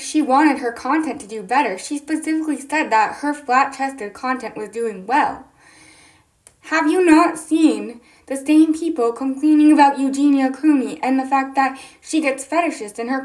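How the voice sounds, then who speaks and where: A young woman with a high voice, speaking earnestly and insistently to camera in an opinion vlog, pressing her points with indignant questions. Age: young adult. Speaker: TikTok creator.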